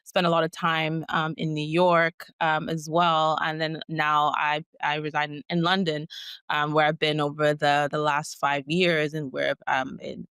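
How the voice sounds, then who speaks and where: A woman who grew up in Egypt, answering as an interview guest in measured, halting phrases. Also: sits low, a bit bright, smooth, dry recording. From grew up in Cairo, Egypt. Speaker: guest entrepreneur.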